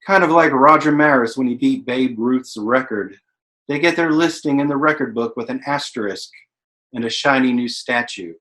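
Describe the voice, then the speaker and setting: A thoughtful, learned narrator, acted by a man speaks in a theatrical monologue. The voice is mid-range, with a measured, ruminative, explanatory delivery. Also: medium pitch, a bit bright, lightly acted. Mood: reflective. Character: thoughtful, learned narrator reflecting on the Hollywood blacklist. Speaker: stage actor in a play reading. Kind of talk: theatrical monologue in a staged reading.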